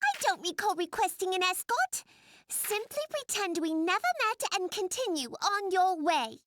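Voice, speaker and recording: A woman's voice: very high voice, big pitch swings, normal speed, a bit bright, dry recording, studio quality, exaggerated voice. An actress playing a proud, headstrong young noblewoman in a fantasy game, speaking formally and imperiously. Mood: haughty. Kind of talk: scripted video game cutscene acting.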